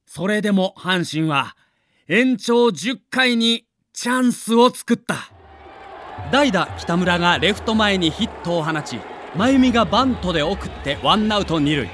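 A man's voice: sits high, lively intonation, a little slow, a bit bright, a little hiss or hum. A man giving dramatic scripted narration for an audio documentary.

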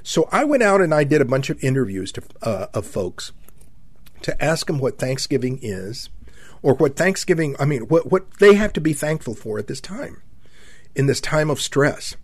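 Husky seventy-year-old radio host. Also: male voice, medium pitch, very animated, a little mellow, no room sound. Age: 70s. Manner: halting, with many fillers and repeated words; folksy, addresses listeners as my friends. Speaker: radio talk show host. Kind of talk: conservative radio monologue.

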